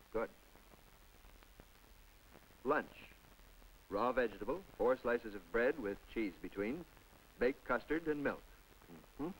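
A man's voice: mid-range voice, slight background noise, low-quality recording. A man narrating a public information film in a scripted, chatty voice-over with folksy asides to the viewer. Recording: old film soundtrack with faint music.

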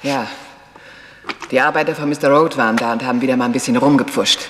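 A man's voice: medium pitch, steady tone, fast, long reverb tail, noisy background, close to an everyday voice. An actor, heard as a man, playing a grumbling, stern church cleaning woman in a German radio crime drama. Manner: complaining, disapproving.

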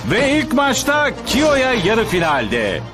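A man voicing an excitable, theatrical tournament announcer in a dubbed cartoon, drawing out his dramatic calls. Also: extremely high pitch, slow, music in the background, full character voice. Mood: excited. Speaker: match announcer. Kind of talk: dubbed cartoon arena commentary.

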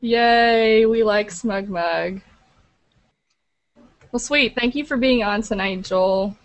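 A young woman runs a webcam panel, moving briskly from guest to guest. Her voice sits a bit high and stays upbeat as she calls on each person. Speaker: co-host. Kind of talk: hosting a panel chat. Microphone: webcam video call.

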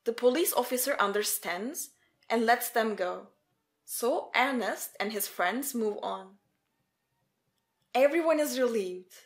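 A woman narrates a documentary story from a script. Her voice sits a little higher than average. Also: animated, takes it slowly.

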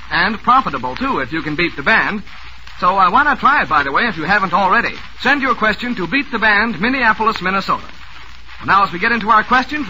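A man with a high voice runs a 1940s radio quiz show in brisk, genial patter, joking with the band. The old broadcast recording is heavy with hiss. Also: pushed and bright, poor audio.

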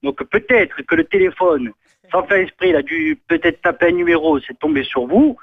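A man in a heated argument over the telephone, emphatic and repetitive, quick to anger. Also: on the high side, animated, slightly rough. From Corsica, France. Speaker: prank call victim. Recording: dull lo-fi phone line.

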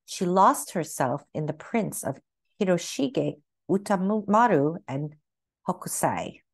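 A woman narrates a children's picture book in a slightly low voice. Her pitch moves widely as she reads, and she phrases each sentence carefully, in an expressive storytelling style. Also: slow pace, gravelly. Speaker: read-aloud narrator.

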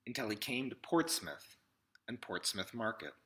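A man in his thirties reads a storybook aloud in measured short phrases with pauses, recorded indoors at arm's length from the mic. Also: medium pitch, very animated, fairly bright tone. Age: 30s. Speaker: picture book reader. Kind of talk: read-aloud storybook narration. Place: small indoor room with classroom wall displays.

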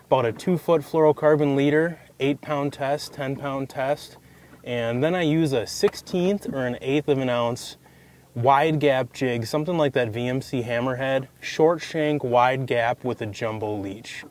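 A man in his thirties talks through his setup in a plain, practical way, his voice sitting in an ordinary middle pitch. He is outdoors on a boat with a lapel mic, and a faint hum of open-air ambience sits under his words. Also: darkish tone. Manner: practical, matter-of-fact, gestures while talking. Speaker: fishing guide. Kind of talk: casual how-to explanation.

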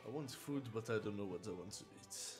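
A man's voice: lowish voice, lively intonation, a little fast, a little mellow, soft background music. A man chatting in character during a roleplay game, playful and mock-innocent. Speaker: roleplay player.

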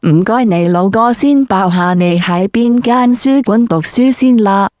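A woman's voice: medium pitch, a little slow, darkish tone, no echo, clean studio sound. A woman doing a crude, scripted comic interview in a brash, foul-mouthed way.

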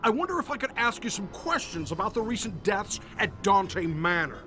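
High-pitched reporter on a phone. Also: male voice, sing-song, normal speed, pushed and bright, soft background music, full character voice. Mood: pressing. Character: pushy male newspaper reporter on the telephone. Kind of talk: video game cutscene acting.

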